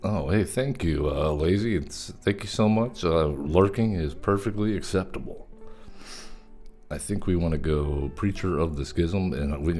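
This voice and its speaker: Man, very low voice, mellow, casual play-by-play, light guitar backing. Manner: easygoing, chatty, thanks viewers. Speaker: streamer. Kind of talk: casual gameplay commentary.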